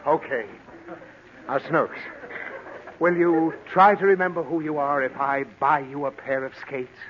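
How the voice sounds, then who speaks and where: Man as high-pitched, flustered, exasperated radio father. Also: steady tone, a little slow, natural voice. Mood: coaxing, impatient. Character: exasperated, excitable father of a naughty little girl. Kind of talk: 1940s radio comedy acting. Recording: muffled vintage 1940 radio recording, faint noise.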